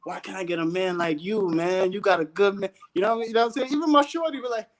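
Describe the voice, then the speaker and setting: A man joining a casual panel talk as a guest, animated and slangy, preaching his views and asking "you know what I'm saying" again and again. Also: high voice, fast talker.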